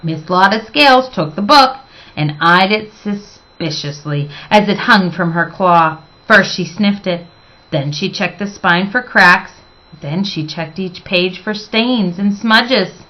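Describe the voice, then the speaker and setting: A woman reading a children's storybook aloud as expressive narration, with dramatic, emphatic phrasing. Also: medium pitch, slow pace, poor audio.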